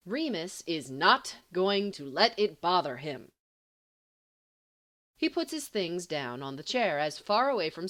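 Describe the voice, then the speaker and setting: Woman narrating fiction with very lively intonation. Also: medium pitch. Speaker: amateur audiobook reader. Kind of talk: expressive scripted fiction narration.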